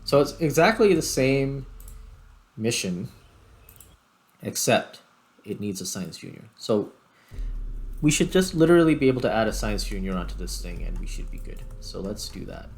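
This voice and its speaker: A man's voice: mid-range voice, very animated, normal speed, long pauses. A man giving casual gameplay commentary, thinking aloud as he goes. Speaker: gaming video host. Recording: music playing behind the voice. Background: background music.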